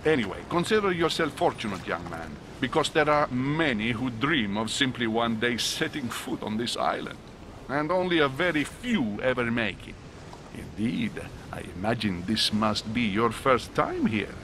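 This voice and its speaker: A man voicing a sly, fatherly cardinal in a video game, courtly and knowing, over a rumbling background. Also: expressive, moderate pace, noisy background, natural voice. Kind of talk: video game cutscene acting.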